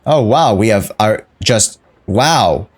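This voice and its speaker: A man's voice: fairly low pitch, animated, slow. A man giving casual live gameplay commentary, playful and exclaiming, often repeating his phrases. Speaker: game livestreamer.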